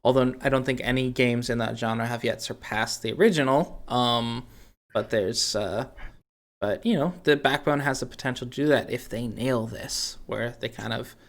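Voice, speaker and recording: A man's voice: medium pitch, normal speed, vocal fry. A man hosting a podcast, chatting casually about games and thinking aloud in a rambling way. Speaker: podcast host.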